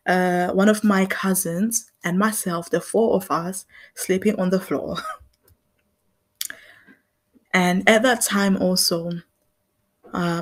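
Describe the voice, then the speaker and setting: A young adult woman from Zimbabwe hosting her own podcast, talking in an earnest, reflective way. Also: lowish voice. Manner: earnest and reflective, with small laughs. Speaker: podcast host. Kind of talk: personal commentary monologue.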